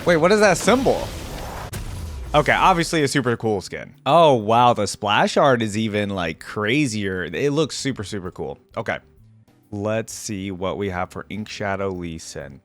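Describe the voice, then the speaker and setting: A man's voice: lowish voice, animated, a little slow. Casual male reaction host over music. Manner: informal, exclamatory, thinking aloud. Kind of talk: casual, off-the-cuff reaction commentary. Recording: music and game audio behind voice.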